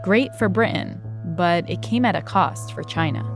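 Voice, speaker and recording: A woman's voice: fairly low pitch, normal speed, over music. Woman narrating a history explainer. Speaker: video host and narrator.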